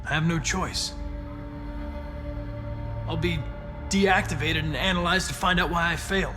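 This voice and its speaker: A man's voice: on the high side, steady tone, measured pace, lightly acted. A man playing a polite, determined young android detective, speaking formally and precisely in a video game. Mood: resigned, firm. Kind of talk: cinematic video game acting. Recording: loud music behind the voice.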